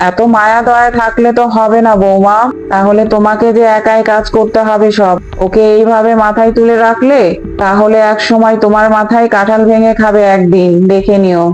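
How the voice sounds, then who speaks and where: A woman's voice: medium pitch, flat delivery, normal speed, darkish tone, natural voice, low-quality recording. A woman voicing a greedy, bossy older mother-in-law in a fairy-tale cartoon. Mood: bossy scolding. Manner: bossy, scolding, gloating. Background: background music.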